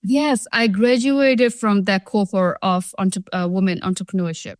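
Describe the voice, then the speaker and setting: A woman's voice: mid-range voice, steady tone, a little slow, dry recording, studio quality. A young woman from Thailand, a social enterprise founder, telling her story earnestly and chattily as an interview guest. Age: young adult. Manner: earnest, chatty, many "you know" and "like" fillers.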